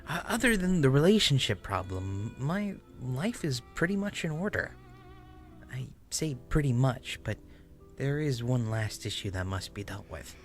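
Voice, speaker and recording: An obsessive, quietly unhinged man acted by a male reader confesses in a horror tale at a middling pitch. He is deliberate and halting, stumbling into repeats, with music underneath. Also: sing-song. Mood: obsessive, confiding. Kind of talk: dramatic first-person horror story reading.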